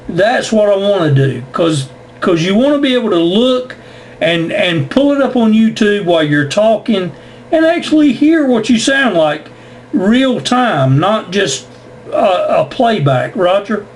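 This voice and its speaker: A man's voice: high voice, big pitch swings, a little slow. A man explaining things in a casual amateur radio conversation, often repeating his words. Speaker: amateur radio operator recording contacts for YouTube. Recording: noisy amateur radio audio.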